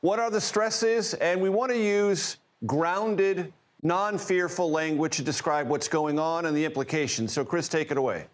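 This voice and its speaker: A man anchoring a news program, reading a script in a formal, deliberate, emphatic way. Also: on the high side.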